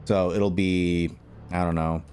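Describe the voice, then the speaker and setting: A man explaining steps in a casual coding tutorial, haltingly thinking aloud as he goes. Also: sits low, level tone, darkish tone, soft background music. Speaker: tutorial host.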